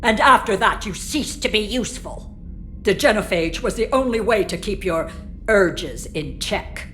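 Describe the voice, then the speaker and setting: A woman's voice: mid-range voice, expressive, measured pace, a bit bright. A woman playing a haughty, bitter alien political leader with an imperious, disdainful manner in a video game. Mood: haughty, scornful. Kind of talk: cinematic video game acting. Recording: dramatic game music behind.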